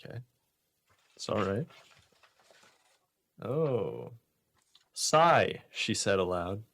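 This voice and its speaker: A man's voice: fairly low pitch, big pitch swings, extremely slow, creaky, fairly bright tone, dry recording. A man hosting a radio show with casual comedy banter. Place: small radio studio.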